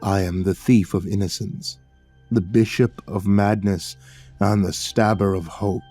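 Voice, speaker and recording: A man voicing a sulky, scornful goth demon lord giant who talks slowly in a low, rumbling voice. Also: fairly even intonation, mellow, slightly rough, soft background music. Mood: menacing. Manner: slow, sneering, petulant.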